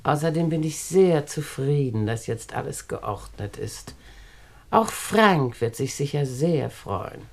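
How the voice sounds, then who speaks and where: An actress playing a wealthy, fussy, condescending older aunt in a radio play, speaking in a bossy, sweetly patronising way. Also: female voice, low voice, animated, takes it slowly, vocal fry, darkish tone, exaggerated voice. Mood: self-satisfied, composed. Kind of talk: German radio play acting.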